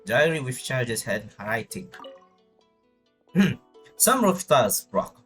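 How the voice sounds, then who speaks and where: A man streaming a video game in a casual, think-aloud way. His mid-pitched voice gets animated as he reads game text out. Quiet music runs behind him. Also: takes it slowly.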